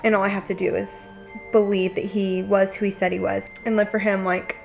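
A woman's voice: mid-range voice, level tone, fairly quick, vocal fry, soft background music. A woman in her twenties, chatting casually to the camera at home, earnest and filled with "like" and "you know". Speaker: lifestyle vlogger. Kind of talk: casual vlog talk to camera. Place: home kitchen and living room couch.

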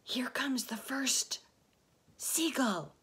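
A woman's voice: high-pitched, very animated, measured pace, husky, pitched above the performer's own voice, full character voice. Woman acting urgent, commanding young storybook boy. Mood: urgent hush. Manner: urgent, bossy, switching between hushed whispers and shouts. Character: brave, commanding young boy leading animal friends.

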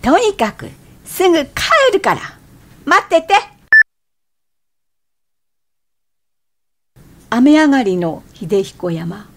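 A high, very lively young wife in a radio drama. She is irritable and changeable, exclamatory and full of feeling. Light rain noise sits behind her. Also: unhurried. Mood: brightening. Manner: expressive, exclamatory, emotionally changeable. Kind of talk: radio drama acting with inner monologue.

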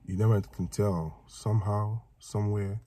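Man, thirties, from Africa, excitable, laughs a lot, low pitch, dry close sound, car interior. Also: normal speed, no room sound. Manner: excitable, laughs often, jumps high when thrilled. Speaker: reaction channel co-host. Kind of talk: casual reaction chat. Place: inside a parked car. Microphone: phone camera on the dashboard.

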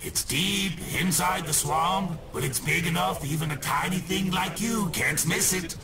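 A man's voice: sits high, measured pace. A man voicing a gleeful, theatrical shadowy ghost villain in a video game, mocking and menacing, with a taunting, hammy delivery that swings from mutters to shrieks. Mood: mocking, bossy. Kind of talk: exaggerated cartoon video game villain acting. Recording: loud game music behind the voice. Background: loud video game music.

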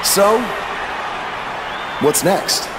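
An actor playing a cocky, defiant wrestler giving a declamatory promo speech in a video game. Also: male voice, high-pitched, extremely slow. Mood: boastful. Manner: declamatory, shouting into a microphone. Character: cocky male pro wrestler, new champion, boastful and defiant. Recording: loud arena crowd noise. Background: roaring arena crowd.

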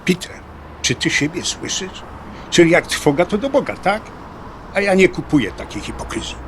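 Casting: anxious middle-aged atheist biker, blunt colloquial audio drama talk, lightish pitch, noisy vehicle backdrop. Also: male voice, very animated, normal speed. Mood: blunt, irritated. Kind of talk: dialogue acting in an audio drama.

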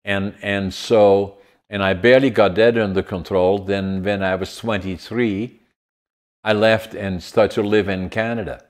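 A man's voice: lowish voice, steady tone, measured pace. A man in his eighties with a Dutch accent, hosting a casual interview over a video call, with many fillers and restarts. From Netherlands. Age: 80s. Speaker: podcast host. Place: home room with large window.

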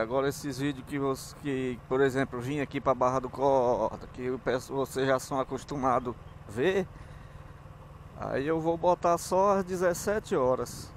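A man with a northeastern Brazilian accent chatting casually as he vlogs from a moving motorcycle, in a folksy, rambling way. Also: mid-range voice. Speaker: motovlogger. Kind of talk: casual vlog narration while riding. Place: outdoors, riding a motorcycle on town streets. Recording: motorbike and street noise. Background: engine and traffic sounds.

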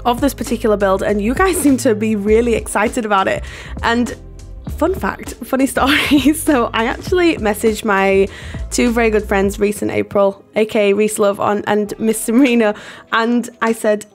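A hoarse woman, losing her voice, chatting in a rambling, apologetic way over her building video and laughing often. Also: fairly high pitch. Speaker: game builder and video host. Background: soft background music.

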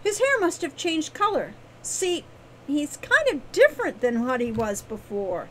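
A woman's voice: very high voice, very animated, normal speed, pitched above the performer's own voice. A woman voicing an eager, stubborn young girl with a playful, pleading manner in a storybook tale. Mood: insistent. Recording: clearly noisy background.

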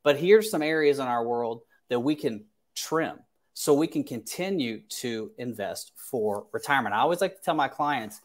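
A man's voice: mid-range voice, lively intonation, moderate pace. A man in his thirties hosting an advice livestream as a financial advisor, speaking emphatically and repeating phrases for stress. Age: 30s. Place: small indoor home office.

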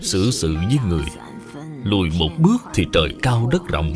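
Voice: low voice, very animated, normal speed. A performer acts out a dignified old grandmother from a moral cartoon. She speaks solemnly as the wise head of the family, with music behind her. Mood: solemn teaching. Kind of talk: cartoon dub acting.

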